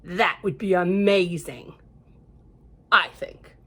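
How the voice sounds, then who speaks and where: A middle-aged American woman hosting a children's storytime, talking and reading aloud in a gentle, reassuring manner. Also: on the low side, slow. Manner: gentle, reassuring, addressing young children with rhetorical questions and affirmations. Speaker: library storytime reader.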